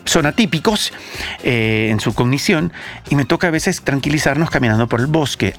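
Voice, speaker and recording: A Salvadoran man talks as a casual show host in a mid-pitched voice. He is emphatic and often repeats a phrase to drive it home. Light music plays behind him. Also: gravelly. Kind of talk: casual radio-style talk with co-hosts. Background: background music.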